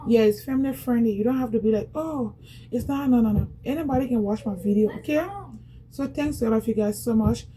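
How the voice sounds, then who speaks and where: Casual Liberian woman vlogger, talkative and repetitive, warm mellow voice pitched a bit high. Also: a little fast, slight background noise, low-quality recording. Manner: chatty, repetitive, talks straight to viewers. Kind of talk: casual product unboxing vlog.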